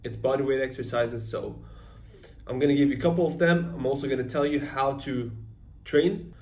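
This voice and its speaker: A man's voice: medium pitch, moderate pace. A young man in his twenties giving casual home workout instructions, upbeat and direct, often checking in with "okay?" and "all right?", recorded by a camera on the floor of a tiled room. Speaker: fitness coach. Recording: echoey room with faint ambience.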